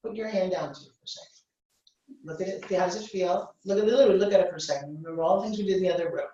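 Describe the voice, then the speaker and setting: A woman's voice: fairly low pitch, racing, dark tone. A woman coaching a workshop live, giving short, blunt, commanding instructions and cutting in to correct. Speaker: business coach leading a workshop. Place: indoor reverberant room. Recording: echoey room, distant dull microphone.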